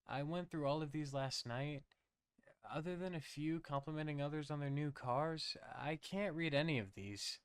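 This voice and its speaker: Horror story manager: worried woman, acted by a man, lightly raised pitch, careful, pleading delivery. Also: normal speed, smooth, dry recording, studio quality, lightly acted. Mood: strained, firm.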